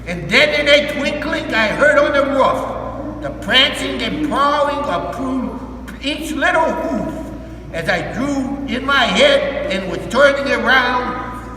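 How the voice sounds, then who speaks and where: In a big echoing hall with clear background noise, an older man with a very high-pitched voice reads a story aloud. He delivers it slowly and emphatically, halting now and then to explain things simply to his listeners. The mic picks him up from a distance. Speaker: volunteer storyteller. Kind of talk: reading a Christmas poem aloud to a group, with simple asides. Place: large echoey indoor room, recorded from far off.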